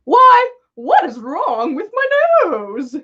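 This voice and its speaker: A woman voicing a vain, whiny male dark lord in a haughty, self-pitying, theatrical way for a fan fiction story. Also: sits high, big pitch swings, takes it slowly, lo-fi sound, voice pushed up, heavily acted. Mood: wounded vanity.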